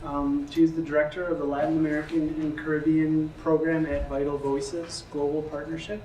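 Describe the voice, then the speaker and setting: A man's voice: on the high side, normal speed. A man moderating a panel, reading out a speaker's introduction with fillers. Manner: even, flat delivery with fillers. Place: lecture room. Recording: echoey room, distant mic, faint hiss.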